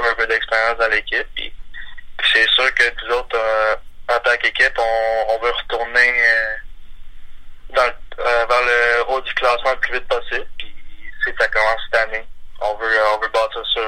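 A young man from Montreal with a Quebec French accent, a hockey player answering interview questions in a halting way with many fillers, heard over a phone line. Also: medium pitch, bright, forceful tone. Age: young adult. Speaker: junior hockey player guest.

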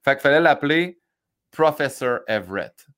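A man's voice: mid-range voice, lively intonation, a bit bright. A man hosting a casual interview, chatty and fond of acting out quoted lines. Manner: chatty, quick asides, acts out quoted lines. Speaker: host.

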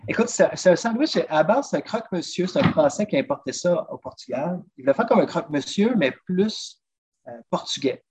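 A man's voice: fairly high pitch, brisk, husky. A man with a Québécois accent, chatting as a podcast guest and eagerly walking through recipes. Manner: chatty, lively, keeps saying "listen" as he explains recipes. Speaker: guest, drummer and food traveler. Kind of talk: casual interview chat. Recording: dull lo-fi recording.

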